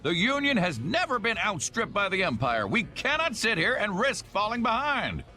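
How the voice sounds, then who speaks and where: A man's voice: sits high, wildly swooping pitch, normal speed, bright, forceful tone, soft background music, heavily acted. An actor playing a proud, combative male guild boss in a video game.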